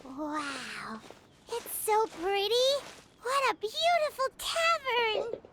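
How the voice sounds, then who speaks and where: A woman voicing a tiny, chirpy fairy girl companion in a video game, childlike and squeaky, speaking of herself in the third person. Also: lively intonation, slow pace, heavy background noise, exaggerated voice. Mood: wide-eyed wonder. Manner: refers to self in third person. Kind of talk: animated video game acting.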